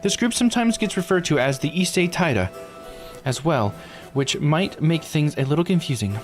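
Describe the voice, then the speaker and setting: A man's voice: fairly high pitch, animated, moderate pace, soft background music, voice pushed up, natural voice. A man voicing a polite, knowledgeable researcher in a visual novel, explaining things at length. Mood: explanatory. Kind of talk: character voice reading game dialogue.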